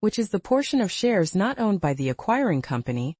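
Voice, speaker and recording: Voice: medium pitch, very animated, a little fast, darkish tone, no room sound. A presenter reading scripted educational narration in a formal, read-aloud manner.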